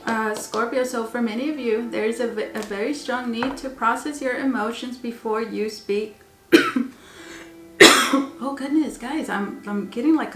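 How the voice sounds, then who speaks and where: Woman, higher voice, losing her voice, tarot reader, rambling with fillers, echoey room, faint hiss. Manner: rambling, repeats words with many ums and uhs. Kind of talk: tarot reading for viewers.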